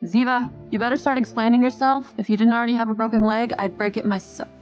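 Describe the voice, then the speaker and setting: Woman acting feisty young mother, protective, anxious, slightly high voice, audio drama, music underneath. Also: fairly even intonation, fast, lo-fi sound, lightly acted. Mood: exasperated, threatening.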